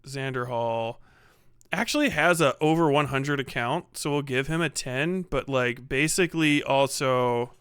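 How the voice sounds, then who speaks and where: A man's voice: medium pitch, a little slow, a bit bright, clean tone, no echo, studio quality. A man streaming live, chatting casually and swearing often, muttering half to himself as he works on something. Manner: profane, muttering to himself while working. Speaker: livestreamer.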